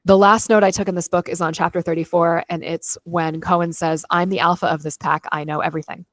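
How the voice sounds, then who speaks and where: A woman with a fairly low voice talks as a book club podcast host in a very dry-sounding recording. She is casual and opinionated, slipping between chatty asides and read-aloud recaps with dry humor. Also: little pitch movement, a little fast, no room sound. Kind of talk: casual chat with read-aloud chapter summaries.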